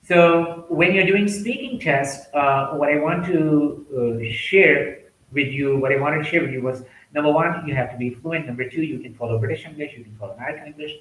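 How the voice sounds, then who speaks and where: A man giving a recorded English lesson, explaining pronunciation with many fillers and sounding out example words slowly. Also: fairly high pitch, fairly bright tone, slight background noise. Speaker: English teacher. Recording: echoey room.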